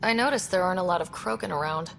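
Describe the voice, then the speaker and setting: A woman's voice: on the low side, fast talker, vocal fry, a little hiss or hum. A woman playing a young space explorer in a video game, direct and inquisitive, in a plain conversational voice. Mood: curious. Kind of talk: video game dialogue acting.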